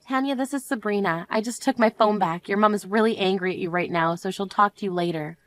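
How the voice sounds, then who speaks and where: A woman voicing a composed young bride in an animated story, speaking in a firm, cold, measured manner. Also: mid-range voice, natural voice.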